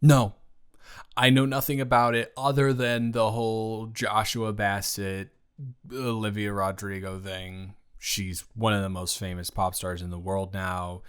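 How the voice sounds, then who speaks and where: Slow, halting young American man. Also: on the low side, little pitch movement, fairly bright tone, clean tone. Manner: emphatic, halting, repeats words for stress. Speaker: audio roleplay performer. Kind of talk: improvised casual chat roleplay, as a roommate.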